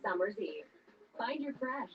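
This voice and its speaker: A woman's voice: mid-range voice, lively intonation, unhurried, muffled recording, over music. A woman reading scripted advertising narration as a commercial announcer.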